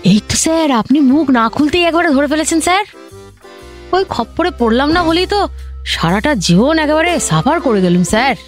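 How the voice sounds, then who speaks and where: A woman's voice: sits high, expressive, normal speed, soft background music. An actress playing a talkative, self-pitying woman government officer in a comic radio drama, gushing with complaints and repeating herself. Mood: aggrieved.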